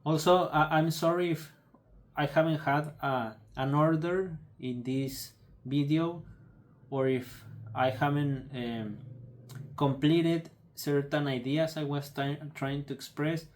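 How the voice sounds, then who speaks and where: A young man whose first language is Spanish, speaking in a halting, subdued way with many restarts as he searches for words. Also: a little high, steady tone. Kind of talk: personal video update.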